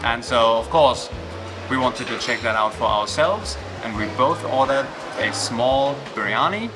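A man's voice: medium pitch, animated, a little slow. Young man raving about food. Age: young adult. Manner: enthusiastic food description. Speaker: travel vlogger. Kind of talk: travel vlog commentary. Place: indoor snack restaurant. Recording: music bed underneath.

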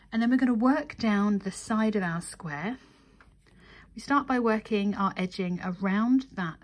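A woman's voice: a little high, a little mellow. A woman presenting a craft tutorial, giving methodical step-by-step instruction and counting as she goes.

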